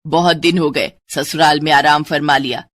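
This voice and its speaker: A woman voicing a bossy, taunting middle-aged mother-in-law in a moral-story cartoon, with a sharp, nagging manner. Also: deep voice, steady tone, moderate pace, dry recording, voice pushed down. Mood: scolding.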